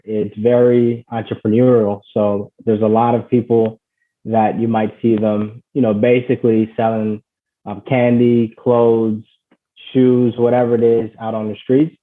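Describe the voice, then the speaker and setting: A man from Ghana, a guest on a call, telling his life story in an earnest, conversational way, full of "you know" and "basically.". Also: mid-range voice. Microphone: call audio. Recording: tinny phone-like call audio.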